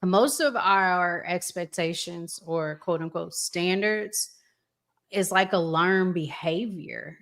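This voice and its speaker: Female podcast host, lowish pitch, unhurried pace, reflective, conversational, pauses mid-thought. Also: a bit bright. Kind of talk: casual relationship podcast conversation.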